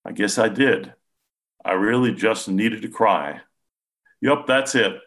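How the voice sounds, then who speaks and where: A man reading a story aloud, voicing a gruff middle-aged father who breaks into tears and swears under his breath. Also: fairly low pitch, takes it slowly, fairly bright tone, voice pushed up. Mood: startled relief.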